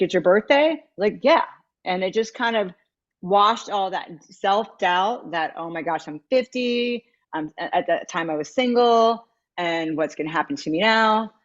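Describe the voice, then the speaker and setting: A woman in her fifties speaks in a lower register, candid and reflective, her thoughts wandering before they land. Her voice arrives over a video call, with dull, muffled lo-fi sound. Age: 50s. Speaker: guest, matchmaker and dating coach. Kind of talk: candid interview conversation.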